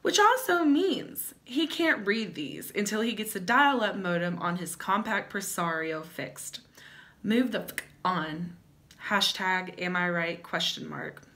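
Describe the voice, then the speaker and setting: A young woman hosting a vlog, giving dramatic comedic readings with wry asides in a theatrical, sardonic manner and emphatic pauses. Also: mid-range voice, lively intonation, fairly bright tone. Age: young adult. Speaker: vlog host. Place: small plain-walled room at home.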